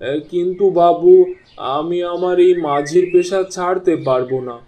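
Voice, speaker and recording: A man voicing an eager, humble village boatman in a Bengali cartoon story, earnest and expressive. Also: high-pitched, moderate pace, lightly acted. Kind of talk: Bengali animated moral-story dialogue.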